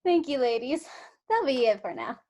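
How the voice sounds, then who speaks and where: Woman doing a bossy alien examiner lady, high voice, dry n blunt, kinda scornful of humans. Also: big pitch swings, moderate pace, dark tone. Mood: brisk, official. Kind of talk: script table read.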